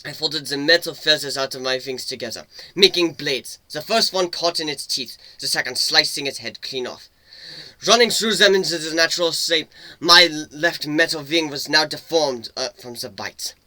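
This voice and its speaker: A man's voice: fairly high pitch, moderate pace, bright, forceful tone, dry recording, poor audio. A man voicing a battle-worn older mechanical pony, bitter, intense and curt, in a story reading. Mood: frantic, driven. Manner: intense, angry, curt, swearing.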